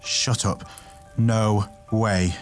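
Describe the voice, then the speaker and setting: A man's voice: sits low, little pitch movement, normal speed, a little mellow, soft background music, natural voice. A man playing a blunt, grim warrior who survived battle, in a fantasy story. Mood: blunt disbelief. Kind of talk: dramatised fantasy story dialogue.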